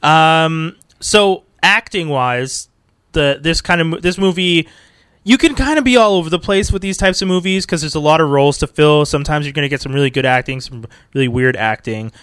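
Host, male, bright slightly high voice, rambling casual chatter, dry close mic. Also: a little fast, smooth, dry recording. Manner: rambling, restarts, laughs often. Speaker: podcast host. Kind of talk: casual movie review chat.

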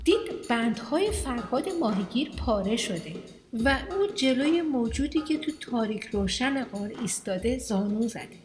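A woman's voice: fairly high pitch, lively intonation, natural voice. A woman playing the storybook narrator of a children's radio play, reading a scripted tale. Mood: storytelling. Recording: music behind the narration.

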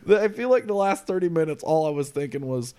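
A man's voice: a little high, animated, fast, warm tone, clear, resonant tone. A man in his late twenties co-hosting a podcast, chatting casually, rambling, laughing often and swearing freely. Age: late 20s. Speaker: podcast co-host. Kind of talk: casual chat.

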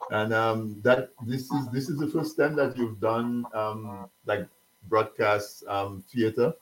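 A man's voice: on the low side, steady tone, takes it slowly, rough recording. A man hosting a broadcast show, talking in an eager, chatty way and jumping in with questions. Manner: eager, talkative, jumps in with questions. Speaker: show host. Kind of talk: casual interview chat.

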